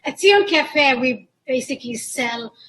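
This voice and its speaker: Woman, very high voice, Ethiopian-born and raised in Israel; restaurant-owner guest in an interview. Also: expressive, a little slow, a bit bright. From born in Ethiopia, grew up in Israel. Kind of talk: interview answers.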